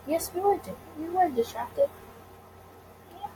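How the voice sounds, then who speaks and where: A woman's voice: very high voice, big pitch swings, unhurried, noisy background. A woman reading a visual novel aloud with casual commentary, stumbling over words now and then. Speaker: let's play host.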